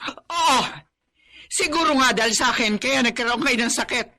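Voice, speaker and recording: A woman's voice: on the high side, normal speed, bright, forceful tone. A woman playing an anguished, protective middle-aged mother in a radio drama, pleading and fretful. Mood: tearful, defensive. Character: anguished, protective mother grieving for her sick sons. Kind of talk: emotional radio drama acting. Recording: music bed behind the voice.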